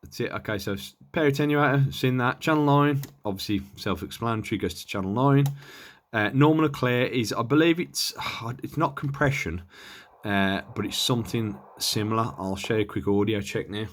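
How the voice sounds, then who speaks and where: A man's voice: mid-range voice, moderate pace. A man giving a casual hands-on gear demonstration in a hesitant, offhand, understated way. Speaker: presenter of a radio gear review.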